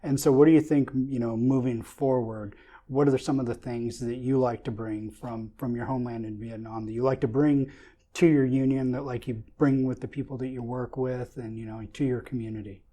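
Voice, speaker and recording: A man's voice: mid-range voice, fairly even intonation, fairly quick, dark tone. A man from the Pacific Northwest hosting a union podcast interview, upbeat and formal in his intros.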